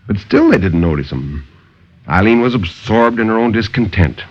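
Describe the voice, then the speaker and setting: A man's voice: on the low side, expressive, normal speed. A man narrating as a storyteller in dramatic 1950s radio horror style. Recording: muffled 1950s radio broadcast.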